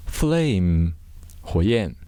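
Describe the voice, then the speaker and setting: Deep voiced guy doing vocab lesson, slow, one word at a time, dry sound. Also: very animated, dark tone, smooth, no echo. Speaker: vocabulary teacher giving Chinese glosses. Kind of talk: scripted vocabulary explanation, word by word.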